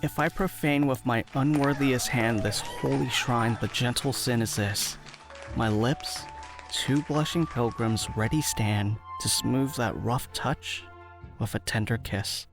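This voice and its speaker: Man acting a young romantic lover, unhurried, vocal fry, tender, Shakespearean verse, audio drama. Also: medium pitch, natural voice. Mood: tender wooing. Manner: tender, measured verse delivery. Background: music.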